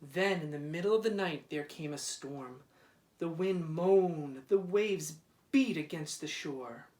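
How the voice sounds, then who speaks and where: A man gives an expressive read-aloud of a children's story. His pace is very unhurried and deliberate, with drama in the phrasing. The sound is echoey and picked up from some distance. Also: a little high. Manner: deliberate, dramatic, drawn-out repeated words. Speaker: school principal reading aloud. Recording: roomy echo, distant microphone.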